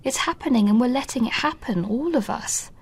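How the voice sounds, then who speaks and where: A husky, earnest mum. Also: female voice, fairly high pitch, lively intonation, a little fast, heavily acted. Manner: earnest, thinking aloud, often soft and breathy. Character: worried, earnest wife and mother, busy and anxious. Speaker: mother. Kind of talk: radio drama acting.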